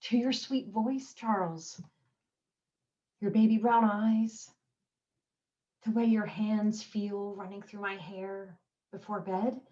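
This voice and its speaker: An actress playing a wry, heartbroken wife. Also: female voice, mid-range voice, unhurried, long pauses, muffled recording, lightly acted. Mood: pleading. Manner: deadpan wit over heartbreak, nervous laughs. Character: desperate wife clinging to her husband, wry and joking. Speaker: community theater actress. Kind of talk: stage monologue performance.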